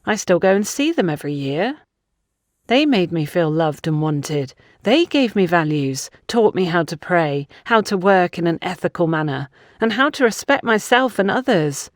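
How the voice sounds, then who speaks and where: A woman voicing a composed, probing woman with a family grievance in an audio mystery, speaking in an earnest, measured way. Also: fairly low pitch, lively intonation, no room sound, clean studio sound, close to an everyday voice. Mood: hurt, earnest.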